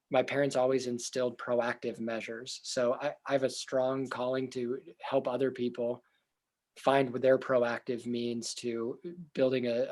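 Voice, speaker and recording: A young man, a therapist, talking earnestly in an interview with a loud voice, thinking aloud with fillers and restarts. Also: medium pitch. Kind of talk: reflective casual interview talk.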